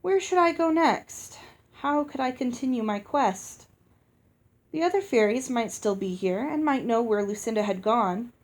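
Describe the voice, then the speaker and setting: A woman's voice: on the high side, lively intonation, measured pace, darkish tone. A woman narrating a children's fantasy novel aloud in the first person, as a storybook reader. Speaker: audiobook reader.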